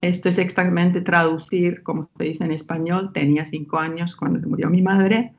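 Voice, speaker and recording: A woman's voice: on the low side, flat delivery, moderate pace, gravelly. A woman researcher from Finland with a Finnish accent, whose first language is Finnish, speaking thoughtfully in an academic interview with frequent restarts. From Finland, Ostrobothnia. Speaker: researcher guest. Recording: slightly lo-fi.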